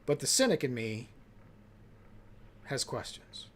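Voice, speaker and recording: A man's voice: medium pitch, big pitch swings, slow. A man chatting casually as a podcast co-host in a blunt, dry, skeptical manner. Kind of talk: casual chat. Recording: faint background ambient noise.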